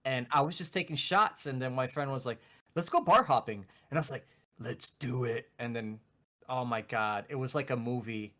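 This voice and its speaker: A man's voice: mid-range voice, normal speed, bright, forceful tone. A man chatting casually as a podcast co-host, joking and teasing in a loose, sweary way. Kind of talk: casual chat on a gaming podcast.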